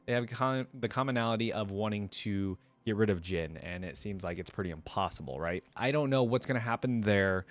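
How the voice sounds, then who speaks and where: A man's voice: on the low side, animated, brisk, few pauses. A man giving casual, informal reaction commentary, often putting questions straight to his viewers. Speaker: reaction video host.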